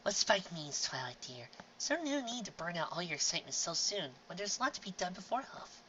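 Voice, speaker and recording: A reader voicing a calm, motherly middle-aged mare who speaks in a soothing, gentle way. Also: medium pitch, a little fast, highs cut off, a little hiss or hum, voice pushed up.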